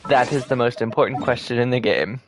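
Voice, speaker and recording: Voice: medium pitch, fairly quick, slight background noise. A game streamer giving casual, chatty gameplay commentary. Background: game music.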